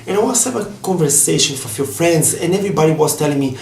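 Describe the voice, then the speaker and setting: A man's voice: a little high, animated, racing, gravelly, a little mellow, echoey room, soft background music. Brazilian-accented man, earnest vlog talk. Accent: Brazilian Portuguese. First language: Portuguese. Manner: earnest and heartfelt, slightly non-native phrasing. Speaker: vlogger. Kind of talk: personal vlog monologue.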